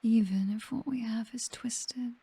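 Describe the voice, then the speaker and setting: A woman playing a possessive, doting vampire noblewoman in an intimate ASMR roleplay, with a British accent and a breathy whisper, speaking tenderly and teasingly close to the microphone. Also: fairly high pitch, steady tone, measured pace, mellow, no room sound, full character voice. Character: possessive, doting vampire noblewoman, commanding mistress to her butler. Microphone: close microphone, dry.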